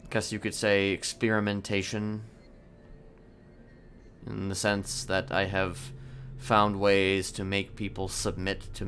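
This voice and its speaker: A man in a game roleplay voicing a calm, sinister criminal manipulator who speaks haltingly and deliberately in formal words. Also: low voice, a little hiss or hum. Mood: calm, menacing. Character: soft-spoken sinister male criminal manipulator, calmly confessing. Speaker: roleplay streamer. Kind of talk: improvised in-character game roleplay.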